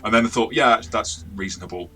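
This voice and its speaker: A man's voice: lowish voice, brisk, a bit bright. A man co-hosting a casual review chat as the game master, chatty and stammering on restarts. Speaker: podcast co-host, game master.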